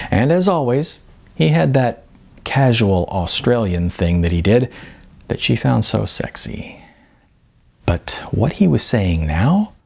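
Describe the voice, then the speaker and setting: A man reading his own novel aloud as an author, in expressive, conversational audiobook narration. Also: lowish voice, gravelly, slightly rough.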